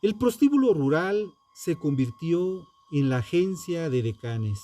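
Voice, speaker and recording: Male true-crime narrator, solemn and dramatic, slightly high-set but mellow tone, unhurried documentary read. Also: lively intonation. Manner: solemn, dramatic, short measured phrases with pauses.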